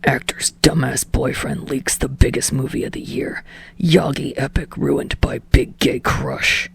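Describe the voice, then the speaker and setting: A woman voicing a surly, foul-mouthed young male actor in a gruff, breathy mutter for a story reading. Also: a bass voice, fairly even intonation, measured pace, no room sound, pitched below the performer's own voice, exaggerated voice. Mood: disgusted. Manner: muttering, spitting words out through clenched teeth.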